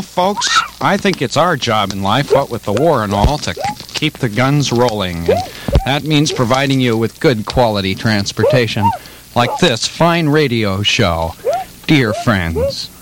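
Man ranting on a noisy old radio show. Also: fairly low pitch. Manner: mock-folksy announcer, ranting complaints. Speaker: radio comedy host. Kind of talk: improvised comedy radio banter.

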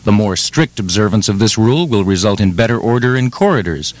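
A man in improvised comedy radio banter, talking and reading aloud in a dry, deadpan way. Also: lowish voice, fairly quick.

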